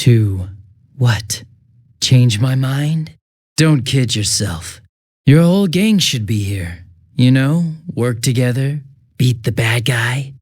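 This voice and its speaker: An actor playing a smug, mocking young detective turned murderous villain in a video game, swinging theatrically between bored drawl and giddy taunts. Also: male voice, on the high side, a little mellow, dry recording, clean studio sound. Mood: bored, contemptuous.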